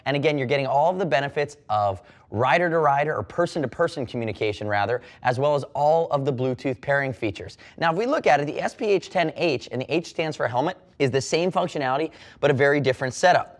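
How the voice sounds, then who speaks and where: A man's voice: fairly high pitch, animated, fast, few pauses. A man giving an unscripted, conversational product review, explaining as he goes and repeating key points for emphasis. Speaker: product reviewer and presenter for a motorcycle gear retailer.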